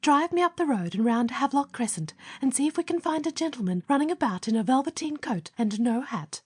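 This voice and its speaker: Woman doing a flustered, breathy young Victorian wife. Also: high voice, animated, fairly quick, darkish tone, pitched above the performer's own voice. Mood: anxious.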